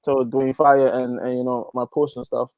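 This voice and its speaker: A man from Guyana giving a casual interview as a guest, informal and halting, repeating phrases as he thinks. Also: medium pitch, level tone, darkish tone, no echo. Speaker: interview guest, gospel reggae artist and youth pastor.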